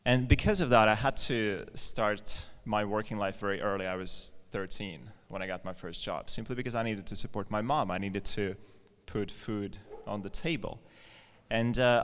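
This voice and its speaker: A man's voice: lowish voice, fairly bright tone, smooth. A man from Latvia telling his personal story as a guest on stage, in an informal, conversational way. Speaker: guest speaker, company founder. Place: large indoor event space, live on stage. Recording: echoey hall, live event PA.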